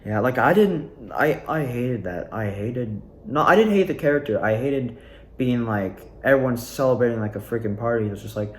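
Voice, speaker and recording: A man's voice: mid-range voice, animated, moderate pace. A young adult man rambling casually and candidly about his own experiences, like a student actor giving a personal recap. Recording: roomy, echoing room sound.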